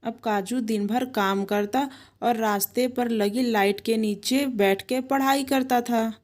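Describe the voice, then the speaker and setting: A woman with a fairly high voice serves as narrator. She delivers scripted storytelling narration for an animated moral tale.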